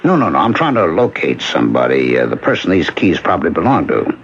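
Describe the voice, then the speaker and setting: A kindly, earnest everyman investigator in vintage radio drama. His medium-pitched voice moves very widely, with hesitant turns of phrase. The recording is muffled with faint noise. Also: big pitch swings, fast talker, exaggerated voice. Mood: polite, searching. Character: kind, earnest hardware man turned amateur investigator. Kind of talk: old-time radio drama acting.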